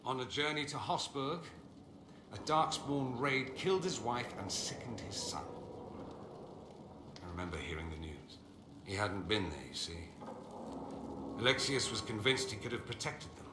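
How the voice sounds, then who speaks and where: Guy doing a sardonic flamboyant wizard for a video game, really lively voice, bit of background noise. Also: medium pitch, moderate pace, pauses often, natural voice. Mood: rueful. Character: witty, proud, theatrical mage exiled from a corrupt empire. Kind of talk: dramatic video game dialogue. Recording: roomy echo, faint ambient noise.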